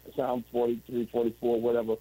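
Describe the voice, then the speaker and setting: A man's voice: medium pitch, monotone, rapid-fire, warm tone, no echo, rough recording. A man in his forties telling his story as an interview guest over a video call, rambling and restarting often. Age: 40s. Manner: rambling, with frequent restarts and "you know what I'm saying".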